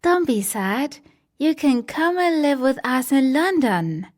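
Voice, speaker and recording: A woman's voice: sits high, lively intonation, slow, darkish tone, voice pushed up. A woman voicing a caring, motherly girl in a storybook reading, earnest and reassuring.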